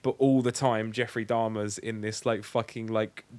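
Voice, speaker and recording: A man's voice: lowish voice, flat delivery, normal speed, a little mellow, no echo. A British man co-hosting a podcast with casual, sweary, rambling comic banter, sounding tired and tipsy. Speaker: podcast co-host.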